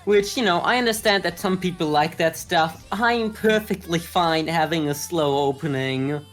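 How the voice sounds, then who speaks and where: A man's voice: fairly high pitch, normal speed, a bit bright, faint music behind. A man who is a native German speaker, co-hosting a podcast and casually discussing anime. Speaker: podcast host.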